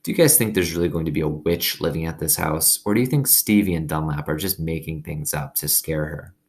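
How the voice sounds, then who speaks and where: Deep-voiced man reading a story aloud. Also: fairly quick, darkish tone. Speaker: reader reading a children's novel aloud to students. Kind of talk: expressive read-aloud with casual asides.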